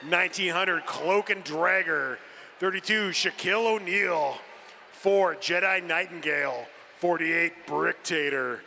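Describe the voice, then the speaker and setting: A man announcing a team roster over a PA in measured, drawn-out phrases. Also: on the high side, creaky, sharp tone. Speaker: arena announcer. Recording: reverberant hall PA with some noise.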